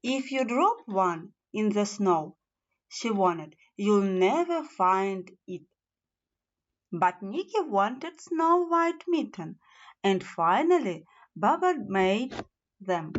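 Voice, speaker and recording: Female storybook reader for fairy tales: animated intonation, unhurried pace, slightly muffled lo-fi sound. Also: mid-range voice. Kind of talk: children's fairy tale narration.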